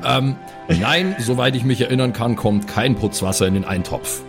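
A man's voice: medium pitch, lively intonation, moderate pace, music in the background, lightly acted. A man playing a grumpy, bossy dwarf brother in improvised tabletop role-play, scolding in exasperation and repeating himself. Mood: exasperated.

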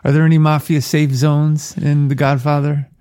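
A man's voice: a little high, flat delivery, moderate pace, mellow. A man co-hosting a podcast in casual chat, teasing and laughing often. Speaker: podcast co-host.

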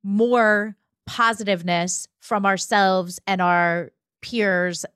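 A woman's voice: medium pitch, steady tone, slow pace, fairly bright tone, dry recording. Woman, mid-range voice, casual explanatory podcast chat, close dry recording. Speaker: podcast co-host. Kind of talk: casual conversational podcast talk.

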